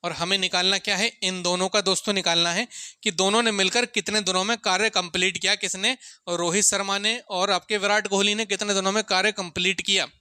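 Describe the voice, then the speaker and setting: Sharp, high-pitched male maths teacher. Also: fairly even intonation, a little fast, clean tone, no echo, studio quality. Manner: asks questions and answers them himself, repeats key points; addresses listeners often as sir and friends. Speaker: maths teacher for exam coaching. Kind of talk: informal classroom-style lesson, talking to students.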